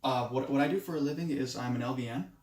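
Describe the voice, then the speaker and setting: A man in his late twenties, from Moldova with Romanian as his first language, giving a halting self-introduction speech for a class, with many restarts. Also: mid-range voice, a little mellow, roomy sound. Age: 20s. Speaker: student.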